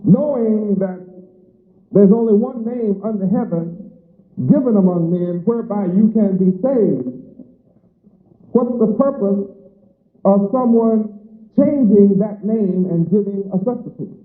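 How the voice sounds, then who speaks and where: A man preaches in a measured, emphatic cadence, stacking phrase upon phrase. His voice sits on the higher side. It comes through a thin, phone-like old recording that rings with room echo. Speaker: religious teacher. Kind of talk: sermon. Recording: echoey lo-fi phone-like archive recording.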